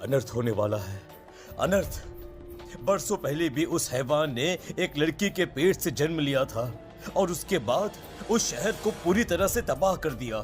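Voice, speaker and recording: Male actor as ominous priest, fairly high male voice, solemn commands, horror cartoon, faint music. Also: sing-song, a little slow. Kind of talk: animated horror story dub.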